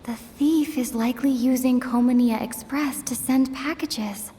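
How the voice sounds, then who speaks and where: A young adventurer's inner thoughts in a video game. The voice is high and slow, deliberate and pensive, washed in long reverb. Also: female voice, noisy background. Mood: deducing. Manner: deliberate, summarizing clues. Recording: long reverb, music behind.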